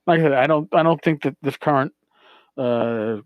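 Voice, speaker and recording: A man hosting a podcast, talking casually as he answers listener voicemails in a halting, word-searching way with repeats. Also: mid-range voice, clear, resonant tone, no room sound, phone-quality sound. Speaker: podcast host.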